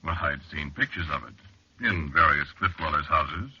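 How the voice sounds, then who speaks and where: A very low, hard-edged man's voice plays a wry, confiding researcher who refuses to be scared. He speaks conversationally and with dry understatement, in the manner of 1940s radio drama. The old recording is muffled. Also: animated, moderate pace, pushed and bright, husky, full character voice. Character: male cliff-dwelling researcher, wry, confiding, stubbornly unafraid. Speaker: narrator and lead actor. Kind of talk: 1940s radio drama first-person narration.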